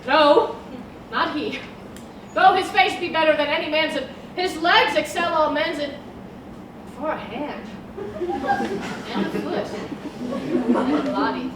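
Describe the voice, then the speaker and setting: Actress as aching, teasing old nurse for Shakespeare stage comedy; slow, theatrical, full of sighs, recorded far from the mic. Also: female voice, high voice, big pitch swings, a crowd in the background, heavily acted. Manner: theatrical, exclaiming, drawn-out groans and sighs. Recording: distant, very echoey room with background noise.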